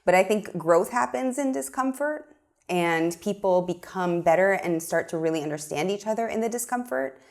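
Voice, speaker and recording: A woman's voice: mid-range voice, expressive, normal speed, darkish tone. A young adult woman filmmaker from Miami, speaking earnestly and thoughtfully in a studio interview, with frequent ums. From Miami, USA. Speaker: filmmaker guest. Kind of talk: interview conversation.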